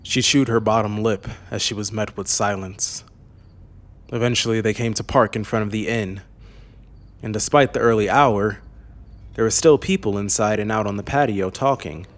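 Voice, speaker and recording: A man narrating a scripted fantasy audiobook in a measured, serious way. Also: on the low side.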